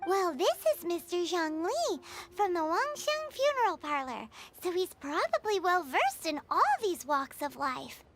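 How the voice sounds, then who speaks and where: A woman voicing a tiny, cheeky, childlike fairy companion in a video game, with a squeaky, bubbly chatter. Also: sing-song, a little slow, hardly any pauses, background noise, heavily acted. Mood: chatty, cheeky.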